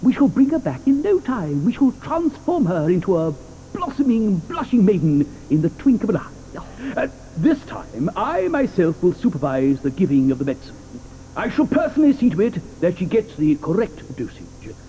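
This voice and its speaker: An actor playing an eccentric, fussy chocolatier in a studio radio play, talking theatrically and grandly. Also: male voice, sits high, sing-song, moderate pace, mellow, a little hiss or hum, rough recording. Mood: pompous. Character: eccentric, fussy, flamboyant adult male chocolatier.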